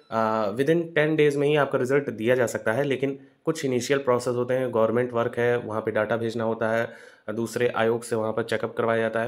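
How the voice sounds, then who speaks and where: Man explaining exam updates. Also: mid-range voice. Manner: repetitive, Hindi mixed with English terms. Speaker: host of exam update videos.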